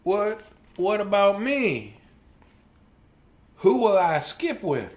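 Storybook elephant, male, anxious and melodramatic, played by a man; high, very animated, pleading delivery. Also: slow pace, exaggerated voice. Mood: alarmed, pleading.

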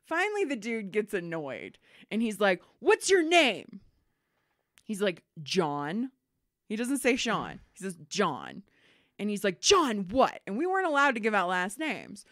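A woman co-hosting a casual podcast, telling work stories with lively acted-out quotes and frank swearing. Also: medium pitch, a little slow, no echo, clean studio sound. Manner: animated storyteller, acts out callers and swears freely. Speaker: podcast co-host. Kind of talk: casual storytelling chat.

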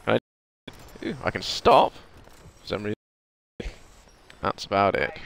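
A man's voice: fairly low pitch, lively intonation, unhurried, gravelly, fairly bright tone, poor audio. A man with a cold, congested and sniffly, chatting casually through a video game and mumbling to himself. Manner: chatty, self-deprecating, mutters to himself. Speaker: gameplay commentator. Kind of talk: casual let's play commentary. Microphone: desk microphone.

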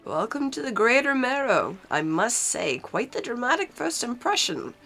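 A woman's voice: a little high, big pitch swings, normal speed, fairly bright tone, soft background music, pitched above the performer's own voice. A woman with a stuffy nose reads the lines of a short, fussy male harbor mayor from a video game. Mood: welcoming. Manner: read aloud from on-screen text.

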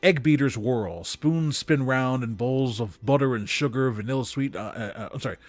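A man giving an expressive literary reading of a story, with dramatic, deliberate phrasing. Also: medium pitch, no echo. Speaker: story reader. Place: small room with bookshelves, indoors. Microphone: close studio microphone on desk.

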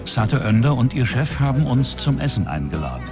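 A man's voice: mid-range voice, very animated, a little fast, music in the background. A man with a standard German accent narrating a television documentary in a scripted style.

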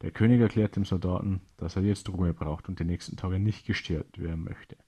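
Low, mellow, husky male storyteller. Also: fairly even intonation, brisk. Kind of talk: children's story narration.

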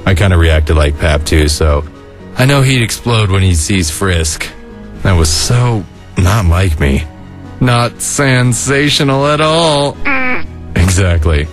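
A man voicing a laid-back, weary and caring skeleton older brother in an animated comic dub, laconic and casual. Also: takes it slowly, soft background music. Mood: wry. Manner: laconic, casual, low-key.

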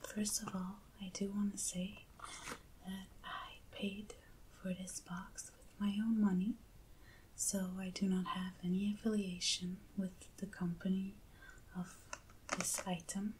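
A woman speaking in a hushed, breathy whisper in a gentle ASMR style, close to the microphone. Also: mid-range voice, flat delivery, measured pace, poor audio. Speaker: ASMR video host. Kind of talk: soft ASMR unboxing talk. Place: small indoor set at a table. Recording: clear background hiss.

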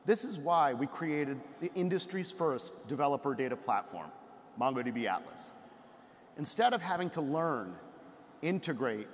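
A man gives a polished product keynote from a conference stage. His voice sits a little high, and it carries the long reverb of a large hall. There is slight noise in the background. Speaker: tech company executive, keynote presenter.

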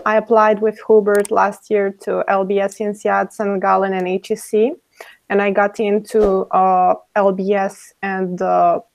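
A woman's voice: mid-range voice, little pitch movement, a little slow, creaky, a little mellow. A woman in her twenties from Moldova introducing herself on a video call in a matter-of-fact way, speaking through earphones. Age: 20s. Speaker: former student guest. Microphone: earphone headset on video call.

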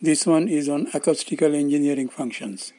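An older man, around his seventies, with an Indian accent, giving scripted explanatory narration in formal, measured phrasing. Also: fairly high pitch, over music. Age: older, around 70s. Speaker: presenter of loudspeaker-making videos.

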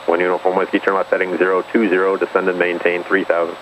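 Very fast, low male air traffic controller. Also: monotone, muffled recording. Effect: aviation radio. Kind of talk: rapid procedural radio instructions. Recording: noisy band-limited aviation radio.

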